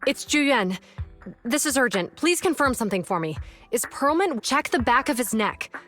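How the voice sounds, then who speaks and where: Game policewoman, fairly high voice, earnest, precise, urgent, faint music underneath. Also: big pitch swings, moderate pace, a bit bright. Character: earnest, by-the-book female police officer. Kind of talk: video game voice acting.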